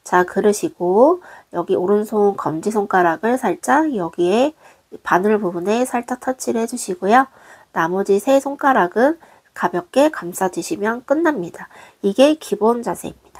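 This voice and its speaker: A woman, hoarse from a cold, patiently walking viewers through a craft tutorial step by step in a gentle, polite way. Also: mid-range voice, animated, normal speed. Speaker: crochet designer teaching.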